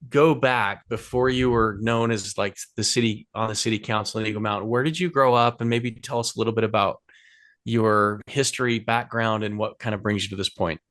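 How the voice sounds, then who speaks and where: A man's voice: on the low side, a bit bright, dry recording, clean studio sound. A man hosting a podcast, asking loose, rambling questions full of fillers in a casual chat. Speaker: podcast host.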